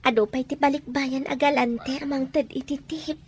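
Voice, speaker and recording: A woman's voice: high voice, fairly even intonation, moderate pace, lightly acted. A woman with an Ilocano accent playing a cheerful, hardworking masseuse in an audio drama, easygoing and modest. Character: cheerful, hardworking young masseuse saving her earnings.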